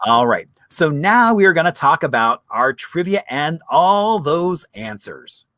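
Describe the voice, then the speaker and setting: A man's voice: a little high, sing-song, unhurried, bright, forceful tone, dry recording, clean studio sound. A man hosting a virtual history happy hour, telling stories in a chatty, enthusiastic way. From Arizona. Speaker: history show host. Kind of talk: casual livestream chat. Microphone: video call.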